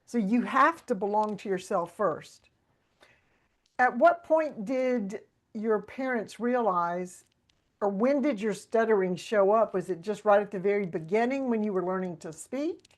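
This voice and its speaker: A woman's voice: mid-range voice, expressive, unhurried. Thoughtful woman podcast host. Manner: thoughtful, affirming questions. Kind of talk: conversational interview.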